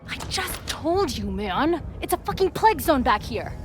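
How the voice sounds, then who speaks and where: Casting: sarcastic game character, crude and griping, higher-pitched woman with very lively intonation. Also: moderate pace. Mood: exasperated, sarcastic. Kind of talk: video game cutscene acting. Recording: noisy vehicle ambience behind voice.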